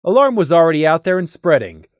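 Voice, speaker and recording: A man's voice: on the high side, very animated, quick pace, no room sound, clean studio sound. A man narrating a scripted fantasy story dramatically, as an audiobook narrator.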